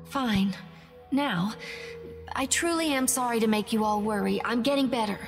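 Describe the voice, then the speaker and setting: A woman's voice: a little high, normal speed, natural voice. An actress playing a polite, remorseful young woman soldier in a game dub, fragile but resolute, with an earnest formal manner. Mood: apologetic, subdued. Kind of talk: anime game English dub acting. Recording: reverberant, music behind.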